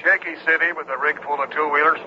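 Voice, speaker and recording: A man's voice: a little high, steady tone, fast, natural voice. A man acting in a radio drama as a businesslike trucker talking in clipped CB radio procedure. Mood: brisk, matter-of-fact. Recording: muffled CB transmission, loud noise.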